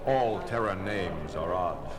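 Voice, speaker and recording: A man playing a coolly logical alien first officer with a precise, deadpan manner in a sci-fi audio drama. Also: low voice, slow, crowd noise.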